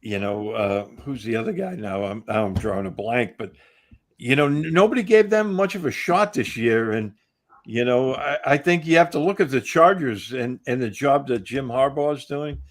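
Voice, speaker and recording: A man appearing as a guest football writer, talking in a halting way with many fillers. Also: medium pitch, expressive. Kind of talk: casual sports talk.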